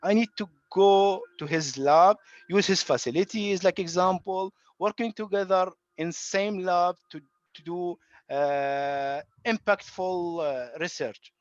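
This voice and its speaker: A high-voiced man from Iraq gives an academic talk over a video call, stopping often to search for words. The sound is dry and close, with a dull, lo-fi quality from the online connection. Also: dry recording. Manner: halting, many fillers and restarts. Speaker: guest lecturer. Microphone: computer mic on video call.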